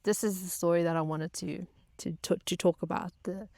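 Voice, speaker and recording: A woman vlogger telling personal stories casually, in a halting, chatty way with wry exaggeration. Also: on the low side, steady tone, darkish tone, no echo, studio quality. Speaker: vlog host telling stories.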